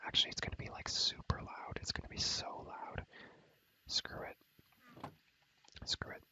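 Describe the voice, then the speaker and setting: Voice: normal speed, sharp tone. A whispering ASMR creator giving a casual, slangy gaming tour, often repeating words and phrases in a rhythmic way. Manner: slangy, casual, mild swearing. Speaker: video creator giving a game world tour. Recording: music behind the whispering. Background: music.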